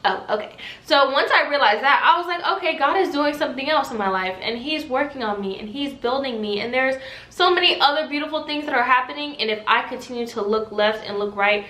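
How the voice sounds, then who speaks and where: A woman in her twenties, a faith vlogger answering viewer questions in a talkative, animated way, exhorting listeners with rhetorical questions. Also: high-pitched, fairly quick. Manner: talkative and animated, self-described loud yappy voice. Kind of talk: casual faith chat, preachy Q&A. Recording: echoey room.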